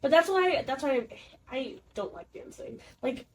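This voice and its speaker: A woman's voice: sits high, lively intonation, normal speed. A teenage girl and theater student chatting casually with friends, with many fillers and restarts. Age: teens. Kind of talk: casual chat. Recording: distant mic, faint room noise.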